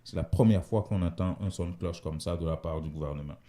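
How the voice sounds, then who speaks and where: A male radio host with a low, dark voice presents the news conversationally. He explains each item as he goes, pausing now and then to find his phrasing.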